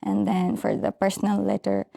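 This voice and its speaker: A young adult woman from Bangladesh, a recent architecture graduate, answering interview questions softly with some hesitant fillers. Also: medium pitch, expressive, normal speed, creaky.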